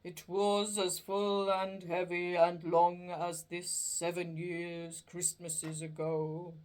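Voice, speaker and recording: A woman voicing a doomed, chained male ghost, speaking slowly and solemnly in a storybook reading. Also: little pitch movement, a little mellow, poor audio. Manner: slow, booming and drawn out.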